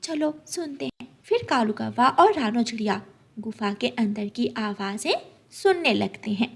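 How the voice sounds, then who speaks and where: A woman's voice: on the high side, sing-song, unhurried, gravelly, husky. A woman reading scripted narration for a children's cartoon story.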